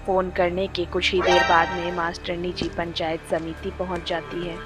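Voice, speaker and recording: A woman's voice: lowish voice, monotone, moderate pace, over loud music. A woman narrator reading a scripted moral story.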